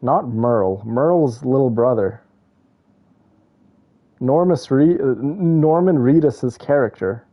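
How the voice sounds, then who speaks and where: A man's voice: mid-range voice, takes it slowly, pauses often, dull audio. A male game streamer chatting casually with viewers in a rambling, opinionated, slangy way. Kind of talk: casual chat with viewers while playing.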